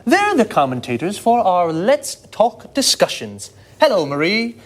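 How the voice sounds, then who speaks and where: Man, presenter, friendly and encouraging, lively intonation, slow pace. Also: sits high. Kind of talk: scripted language-lesson presenting.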